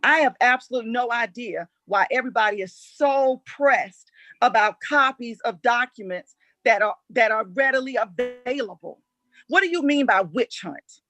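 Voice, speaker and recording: A woman's voice: on the high side, lively intonation, moderate pace, a bit bright, dry recording. A woman debating as a board member in a formal meeting, blunt, insistent and challenging.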